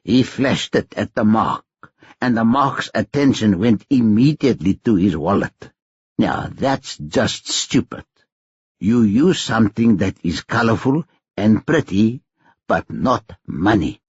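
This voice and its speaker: A man voicing a seasoned older male pickpocket mentor, speaking slowly and patiently as he instructs. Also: on the low side, animated, a little mellow, husky, no room sound. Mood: instructive. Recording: dry, dull audio.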